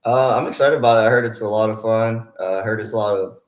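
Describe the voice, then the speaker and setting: Young guy from hawaii, real low voice, laid back interview chat, kinda echoey. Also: steady tone, fast. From Hawaii, USA. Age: 20s. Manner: easygoing, mellow. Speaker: college baseball player, interview guest. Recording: roomy, echoing sound.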